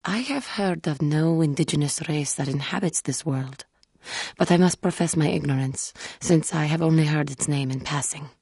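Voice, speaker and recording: An actress voicing a proud, solemn alien woman warrior in a video game, with formal, measured diction and a wounded, bitter temper. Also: low-pitched, a little mellow, slightly rough, no echo. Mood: solemn, wary. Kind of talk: scripted game character dialogue.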